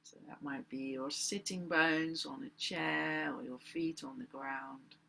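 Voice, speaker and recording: A woman leading a guided meditation as a teacher, speaking in gentle, deliberate phrases with long pauses. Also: deep voice, fairly even intonation, vocal fry. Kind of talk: guided meditation, unscripted spoken instruction.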